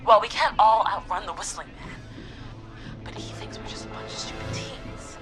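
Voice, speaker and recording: A woman voicing a gutsy, nervy teenage girl who leads an escape plan in a video game, excitable and rallying. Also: medium pitch, normal speed, lightly acted. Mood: determined, upbeat. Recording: music behind the voice.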